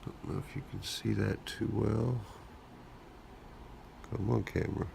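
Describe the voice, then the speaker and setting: A man recovering from a cold, hosting his own channel and talking through his work in a relaxed, thinking-aloud way. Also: fairly low pitch, a little slow, gravelly, background noise. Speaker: lockpicking channel host. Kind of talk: casual hands-on narration.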